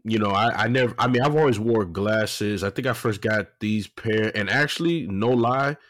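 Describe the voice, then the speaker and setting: A man's voice: on the low side, moderate pace, a bit bright, no room sound, studio quality. A man in his late thirties hosting a podcast over a video call, laid-back and slangy, laughing often. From Florida. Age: 30s. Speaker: podcast host. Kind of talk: casual podcast chat.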